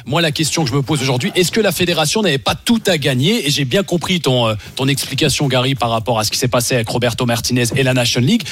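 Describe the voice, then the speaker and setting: Ex-footballer guy on sports radio, talks quick, keeps pushing his point. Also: medium pitch, fairly bright tone, a little hiss or hum. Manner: insistent, pushes to finish his point. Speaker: radio football pundit, former player. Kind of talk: lively sports radio debate.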